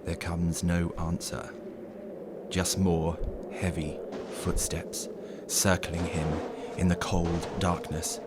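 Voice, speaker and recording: A man narrating a scripted horror story in a dramatic, deliberate storytelling manner. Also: low voice, little pitch movement. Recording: clearly noisy background ambience.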